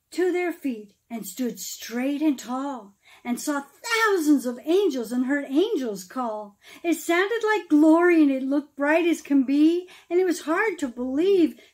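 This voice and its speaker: A middle-aged woman with a notably high-pitched voice reads a rhyming picture book for children. Her delivery is theatrical and emphatic, with deliberate pauses between phrases. She sounds like a storyteller addressing young listeners directly. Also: expressive, a little mellow. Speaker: pastor reading a children's story. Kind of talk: expressive children's storybook read-aloud in rhyme.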